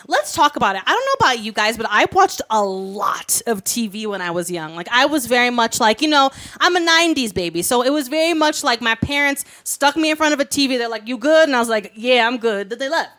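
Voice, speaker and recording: A woman in her thirties co-hosting a podcast, chatty and lively in casual conversation. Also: on the high side, fairly quick, few pauses. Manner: lively, chatty, slangy, often laughing. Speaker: podcast co-host. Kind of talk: casual chat.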